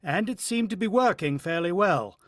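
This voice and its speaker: A man playing a reflective war-veteran farmhand, narrating a radio drama in the first person with measured delivery. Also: sits high, animated, no room sound, studio quality. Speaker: actor narrating as the lead character.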